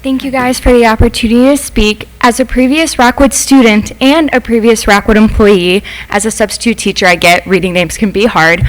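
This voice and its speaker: A woman's voice: on the high side, fairly even intonation, brisk, few pauses, poor audio. A young adult woman, a former student and substitute teacher, making a semi-prepared public comment in a meeting room. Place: school board meeting room.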